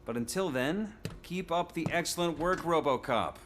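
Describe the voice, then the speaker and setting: A man's voice: fairly high pitch, lively intonation, moderate pace, a bit bright, a little hiss or hum. An actor playing a self-assured male dignitary in a video game, speaking in a polished, formal, theatrical way. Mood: breezy, polite.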